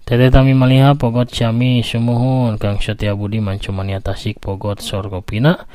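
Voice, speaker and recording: A man's voice: medium pitch, monotone, moderate pace, dark tone, clear, resonant tone, no echo. A man with a Sundanese accent, working as a storyteller and host, speaking in a folksy, chatty way. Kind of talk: Sundanese storytelling and chatty reading of listener comments. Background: background music.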